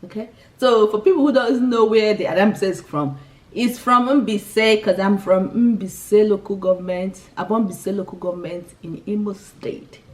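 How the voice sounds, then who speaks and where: A young woman with a Nigerian accent chats casually and laughs easily, restarting her sentences and filling the gaps with "yeah". Her voice sits in the middle of the range for a woman. The camera picks her up from a little way off, so she sounds slightly distant. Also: medium pitch. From Nigeria, Imo State. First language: Igbo. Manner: chatty, giggly, lots of restarts and "yeah". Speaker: vlogger. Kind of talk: casual chat answering a tag list.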